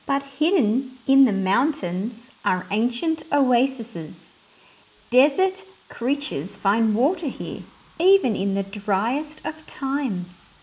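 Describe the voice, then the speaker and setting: A woman with an Australian accent presenting a picture book for children, explaining things in simple, clear phrases. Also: a little high, big pitch swings, takes it slowly, a little mellow, low-quality recording. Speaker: read-aloud host for children.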